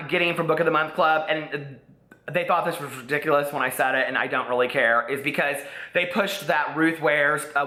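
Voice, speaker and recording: A chatty book vlogger, a man from Indiana, talks through his reading list with a bright, forceful voice and a slight Southern drawl. His lively, sassy asides come through with noticeable room echo. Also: fairly high pitch. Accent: Midwestern American with a slight Southern drawl. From Indiana, USA. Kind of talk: casual chat to camera.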